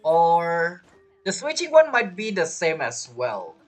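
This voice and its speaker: Non-native English speaker, lively woman streamer. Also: fairly low pitch, takes it slowly. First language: not English. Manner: hesitant, rambling, repeats phrases. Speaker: game streamer. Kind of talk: casual livestream chat while playing. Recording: game music behind the voice. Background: video game music and character voices.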